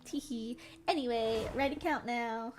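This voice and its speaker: Giggly high-voiced Southern woman streamer. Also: steady tone, unhurried, slight background noise. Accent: Southern American. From Southern United States. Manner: giggly, playful, chatty with viewers. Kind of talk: casual chat while gaming.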